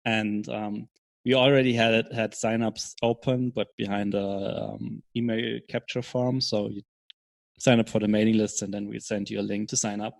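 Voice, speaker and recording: A man answering as an interview guest in casual chat, explaining things in a measured, hesitant way. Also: on the low side, flat delivery, gravelly, no room sound. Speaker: podcast guest, software startup co-founder.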